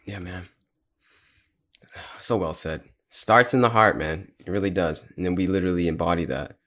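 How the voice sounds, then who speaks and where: A man's voice: sits low, normal speed, fairly bright tone. A man in his twenties hosting a casual podcast conversation, laid-back and agreeable. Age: 20s. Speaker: podcast host.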